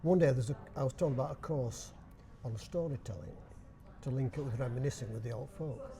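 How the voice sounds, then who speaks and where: A man's voice: mid-range voice, brisk. An older man from Bradford with a Yorkshire accent, chatting and explaining in an interview. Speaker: dialect society chairman, guest. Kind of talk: casual interview.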